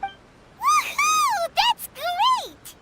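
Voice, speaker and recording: A woman voicing a tiny, excitable childlike fairy companion in a video game, full of exclamations. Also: very high voice, extremely slow, heavy background noise, heavily acted. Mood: excited. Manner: excitable, exclaiming, speaks of self in third person. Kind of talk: English video game voice acting. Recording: loud background music.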